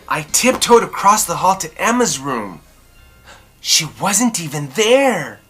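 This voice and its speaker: A woman storyteller reading a children's picture book aloud expressively, with dramatic, drawn-out emphasis. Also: fairly low pitch, big pitch swings, slightly rough, faint music behind.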